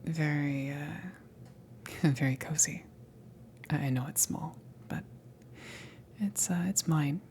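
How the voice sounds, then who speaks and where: A woman voicing a friendly, flustered alien ship crewmember in a soft ASMR roleplay, speaking in a hesitant, breathy half-whisper. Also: deep voice, a little hiss or hum. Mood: shy, welcoming. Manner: hesitant, awkward, giggly, halting.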